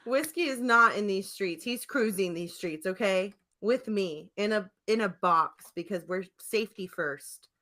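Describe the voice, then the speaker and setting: A woman's voice: mid-range voice, measured pace, hardly any pauses, sharp tone. A woman hosting a podcast in casual comedic chat, with a playful, mock-dramatic and giggly manner. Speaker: podcast host.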